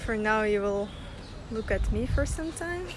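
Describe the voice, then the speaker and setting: A woman's voice: on the high side, expressive, unhurried. A young woman from Russia leading a live-streamed walking tour outdoors, talking loosely and laughing often. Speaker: tour guide. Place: sunny city streets outdoors. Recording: phone audio with street noise.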